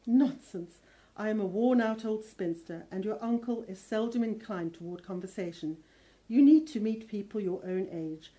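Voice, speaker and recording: Woman playing a mellow, motherly older housekeeper. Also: mid-range voice, normal speed, close to an everyday voice. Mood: concerned, insistent. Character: kindly, fussing older spinster housekeeper, worn-out and persuasive. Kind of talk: audio drama acting.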